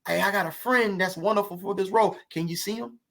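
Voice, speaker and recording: A man with a notably high-pitched voice talks in a conversational interview. He tells stories loosely, punctuating them with rhetorical questions and small check-ins to make his point. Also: fast talker, vocal fry, fairly bright tone. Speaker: podcast guest, actor.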